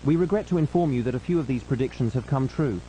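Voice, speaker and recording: A man with a soft, mellow voice delivers a scripted emergency broadcast. He speaks formally and solemnly, like a news announcer, at an average male pitch. A faint hum and light noise sit behind him. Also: quick pace, rough recording.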